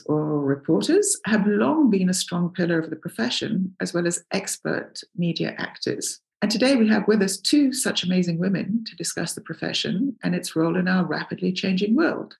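Gravelly older woman hosting a podcast. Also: lowish voice, low-quality recording. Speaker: podcast host. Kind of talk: scripted introduction.

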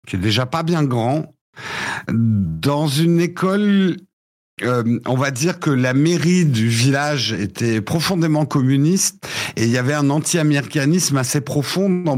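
A man in his fifties who grew up in the United States with English as his first language, recounting his childhood in a lively, halting way. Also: a little high. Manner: animated storytelling with frequent restarts. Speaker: guest. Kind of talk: casual interview chat.

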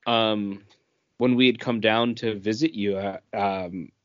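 A man's voice: fairly low pitch, steady tone, takes it slowly, no room sound. A man co-hosting a podcast in a casual, conversational chat. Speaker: podcast co-host. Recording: dull, lo-fi, dry audio.

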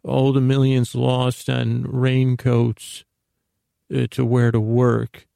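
A middle-aged man hosting a bedtime podcast in a soothing, friendly, lulling tone, rambling and halting with a gravelly, creaky voice. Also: mid-range voice, monotone, mellow, no room sound, clean studio sound. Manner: meandering, halting, repeats and trails off. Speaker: podcast host. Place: small closet full of clothes, indoors.

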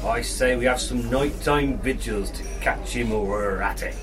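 A woman's voice: very deep voice, moderate pace, far from the mic, music in the background, pitched below the performer's own voice. A woman voicing an eager, conspiratorial male gardener in an audio storybook. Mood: eager speculation. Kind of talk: audio storybook character dialogue.

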